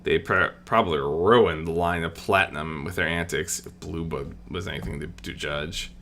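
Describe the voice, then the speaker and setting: A narrator reading a scripted fantasy story in an expressive storybook manner, with dramatic pauses. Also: low-pitched. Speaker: audiobook narrator.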